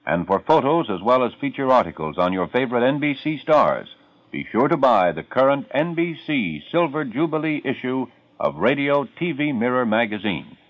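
A man's voice: medium pitch, very animated, moderate pace, dry recording. A man giving a polished, emphatic promotional announcement as a 1950s radio network announcer. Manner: polished, emphatic sales delivery. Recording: dry, muffled old radio recording.

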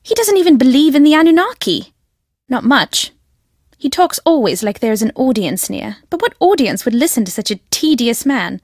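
A woman's voice: high voice, sing-song, moderate pace, darkish tone, dry recording, clean studio sound. An actress voicing a secretive woman in a video game who believes fervently in ancient aliens. She speaks in a curt, cryptic manner that turns fervent. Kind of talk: recorded game voice lines.

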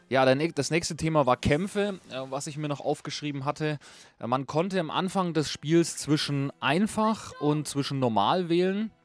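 Casting: male video host, chatty informal game reviewer, medium pitch, music underneath. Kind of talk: casual unscripted game review commentary. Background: music under a voice-over.

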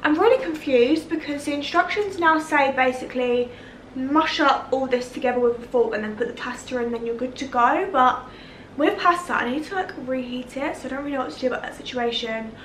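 A woman in her twenties chatting excitedly to camera in a casual home cooking vlog, full of fillers. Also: high-pitched, brisk, a little hiss or hum, rough recording. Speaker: vlogger. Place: home kitchen and living room, indoors.

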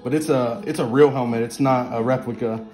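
A man's voice: mid-range voice, fairly even intonation, brisk, over music. Young man enthusing through a fan room. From West Virginia. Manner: enthusiastic, rambling, many fillers. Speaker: sports fan giving a room tour. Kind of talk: casual home tour vlog. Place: small indoor fan room at home. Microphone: handheld phone camera. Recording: echoey room, faint background ambience.

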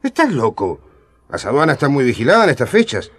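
An actor playing a tired, blunt traveler who grumbles and talks quickly in a radio drama. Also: male voice, mid-range voice, wildly swooping pitch, a bit bright, breathy edge, dry recording, studio quality, full character voice. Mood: grumbling.

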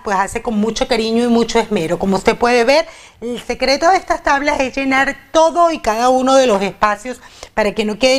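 A woman, hosting a cooking segment on a TV studio kitchen set and speaking straight to viewers. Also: a little high. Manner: upbeat, addresses viewers directly. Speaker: TV show host. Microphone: lapel mic.

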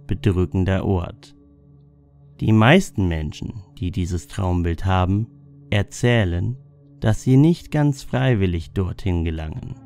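Deep, gravelly man narrating over music. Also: mellow. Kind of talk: scripted narration of a dream-symbol lexicon. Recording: music bed under the voice. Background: background music.

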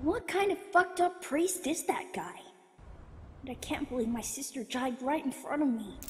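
A woman plays a sweary anime youngster with a high, very lively voice. The kid is excitable and exclamatory, with loud music running behind. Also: normal speed. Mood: dejected. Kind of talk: fan parody anime dub.

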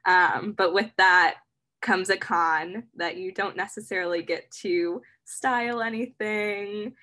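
A woman's voice: mid-range voice, fairly even intonation, slow, bright, forceful tone. A young woman from Michigan, a dental student, explaining campus housing on a video call in a chatty way. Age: young adult.

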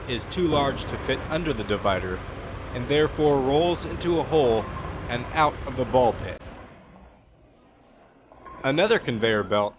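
A man giving a plain, measured voice-over that explains how a machine works. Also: mid-range voice. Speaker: narrator. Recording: dull lo-fi sound with clear background noise.

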